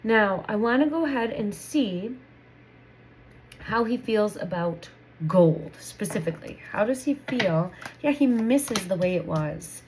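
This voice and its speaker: A woman's voice: mid-range voice, lively intonation, a little slow, darkish tone, low-quality recording. A woman giving a tarot reading while she gets over a head cold. She talks in a mid-range voice and thinks aloud, circling back and repeating words as she goes. A faint hiss of noise sits behind her. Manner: thinking aloud, repeats phrases, many restarts. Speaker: tarot reader. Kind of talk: casual spoken tarot reading.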